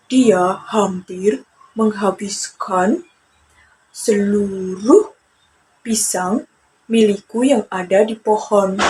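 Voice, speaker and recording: A woman voicing a wronged, resolute male turtle who speaks deliberately and reproachfully in a children's fable. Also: medium pitch, darkish tone, slight background noise, low-quality recording. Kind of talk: children's storytelling character voice.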